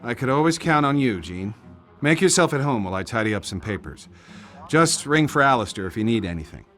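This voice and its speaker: An actor playing a wealthy, weary politician in a video game cutscene, with a genteel, measured manner. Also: male voice, medium pitch, big pitch swings, a bit bright, faint music behind. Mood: grateful. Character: wealthy politician, head of household, troubled and weary.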